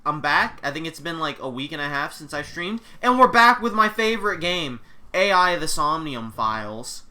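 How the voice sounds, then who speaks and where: Nasal, excitable male game streamer. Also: fairly high pitch. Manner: rambling, loose and excitable, full of gamer slang. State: congested from a cold. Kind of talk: casual live game stream chat. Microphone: desk mic.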